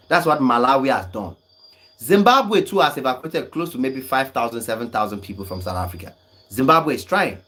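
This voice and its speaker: Guy on a livestream, young, arguing with the comments, really lively up-and-down voice, laughs a lot. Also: medium pitch. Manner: argumentative, emphatic, laughs often. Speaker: online commentator. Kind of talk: livestream replying to viewer comments.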